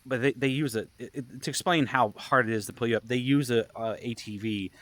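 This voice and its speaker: A man's voice: mid-range voice, fairly even intonation, brisk, a bit bright, no room sound. A man telling stories in a casual, rambling vlog style, with a jokey, self-deprecating manner. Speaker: vlogger and camp event organizer.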